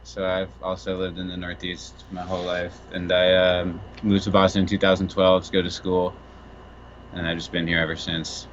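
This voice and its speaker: A man's voice: low-pitched, monotone, normal speed, fairly bright tone, muffled recording, slight background noise. A young man from upstate New York, a music producer guest introducing himself matter-of-factly.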